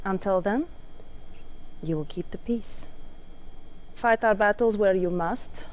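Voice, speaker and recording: An actress playing a cold, calculating Soviet spy chief in a video game cutscene, measured and coolly commanding, with noise behind her. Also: medium pitch, lightly acted, rough recording. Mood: cold authority. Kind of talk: dramatic acting in 1990s game cutscene.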